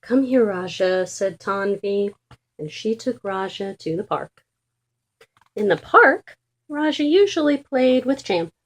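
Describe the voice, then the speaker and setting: A woman reading a children's storybook aloud in an expressive, deliberate and emphatic way for young listeners. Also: mid-range voice. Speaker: children's book reader.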